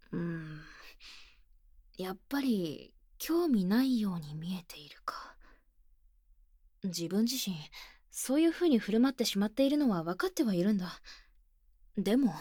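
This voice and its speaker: Shy tomboyish high school girl, earnest, higher-pitched, hesitant half-whispers, intimate ASMR drama, dry close binaural sound. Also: warm tone, dry recording. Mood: tentative, curious. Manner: boyish, plain-spoken, hesitant, intimate, often dropping to near-whisper. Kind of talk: Japanese ASMR situation voice drama. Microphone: close binaural microphone, dry studio.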